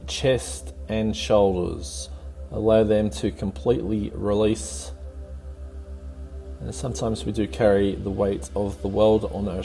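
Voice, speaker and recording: A man leading a guided meditation, giving calm, gently encouraging, unhurried instructions in a soothing way. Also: on the low side, vocal fry.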